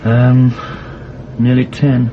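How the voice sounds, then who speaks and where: A man's voice: mid-range voice, completely flat delivery, very slow. A man playing an anxious, inquisitive member of a surveillance team in a radio drama. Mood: calm, hesitant. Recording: dull sound, faint noise.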